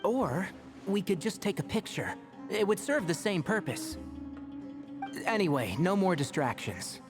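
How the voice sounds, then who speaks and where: A man voicing a practical, gently sardonic young video game character with a measured, dry way of talking. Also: high-pitched, big pitch swings, full character voice. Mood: composed, practical. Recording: long hall reverb, clear music behind.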